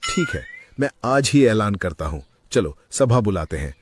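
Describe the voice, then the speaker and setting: A man voicing a trusting, authoritative lion king with an expressive, caring manner in an animated moral story. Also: fairly low pitch, normal speed, background noise. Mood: pleased.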